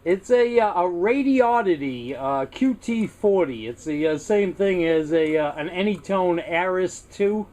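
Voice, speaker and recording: An American man with a fairly high voice talks casually over CB radio. He is courteous and speaks in hobbyist jargon, with clear noise behind him. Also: expressive, unhurried, few pauses, a little mellow.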